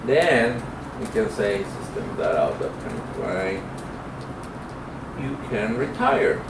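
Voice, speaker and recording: A middle-aged man gives an informal programming lecture at a slow, halting pace, thinking aloud as he works. He sounds distant from the microphone in an echoey room, with clear noise behind him. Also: lowish voice, sing-song. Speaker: programming instructor. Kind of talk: informal classroom lecture with live coding demo. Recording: roomy, distant mic, clear background noise.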